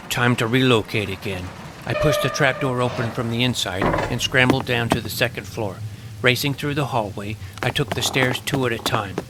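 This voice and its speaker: A man's voice: medium pitch, natural voice. A man playing a serious, imaginative twelve-year-old vampire hunter, narrating his own story in a dramatic, bookish way. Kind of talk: scripted first-person story narration. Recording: noisy ambient background.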